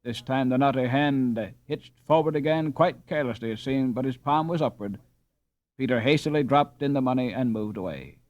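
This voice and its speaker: A man narrating a children's classic as an audiobook, with expressive scripted delivery. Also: medium pitch, moderate pace, dry recording, lo-fi sound.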